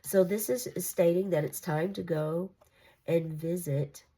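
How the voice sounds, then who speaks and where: A woman's voice: lowish voice, fairly even intonation, measured pace, mellow. A congested, nasal woman giving a casual, meandering tarot reading. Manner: meandering, reassuring, with fillers and restarts. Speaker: tarot reader.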